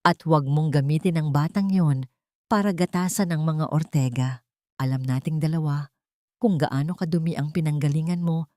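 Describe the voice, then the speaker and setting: A woman's voice: sits low, normal speed, darkish tone, no room sound, clean studio sound, lightly acted. A woman storyteller voicing a spiteful elderly mother-in-law in an audio drama, sneering and accusatory. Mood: sneering mockery.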